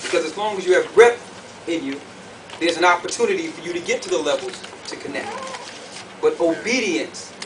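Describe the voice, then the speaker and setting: A man with a high-pitched voice teaches like a preacher at a conference, driving points home with repetition and questions. The microphone sits well away from him, and clear background noise runs under his words. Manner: emphatic, repeats phrases, puts questions to listeners. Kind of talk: sermon, conference teaching to a group. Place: conference room with an audience. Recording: distant mic, clearly noisy room recording.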